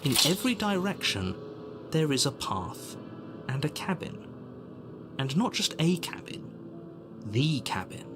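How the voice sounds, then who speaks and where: A man's voice: fairly high pitch, sing-song, measured pace, long pauses. An actor playing an exasperated, sardonic narrator in a video game, wry, theatrical and scolding in his delivery. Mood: ominous narrating. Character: exasperated, sardonic male narrator urging the hero onward. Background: music.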